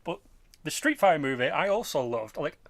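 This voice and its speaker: A man in his twenties hosting a casual podcast chat about films and games, telling things in an animated, informal way with mild swearing. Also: on the high side, fairly quick, no room sound. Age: 20s. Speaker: podcast host.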